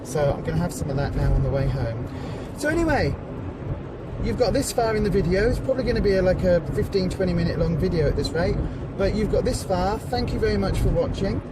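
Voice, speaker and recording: A woman's voice: on the low side, expressive, fast. Chatty woman in a noisy car. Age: 40s. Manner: chatty, self-mocking, rambling with many fillers. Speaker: vlogger, charity organiser. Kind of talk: casual vlog chat. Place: inside a moving car, passenger seat. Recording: loud car road noise. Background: engine and road noise.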